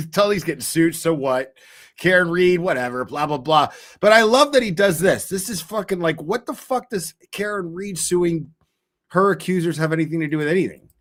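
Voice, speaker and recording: A man's voice: fairly high pitch, moderate pace, a bit bright. A man hosting a live show, commenting casually on a court hearing, laughing often, swearing freely and mimicking people.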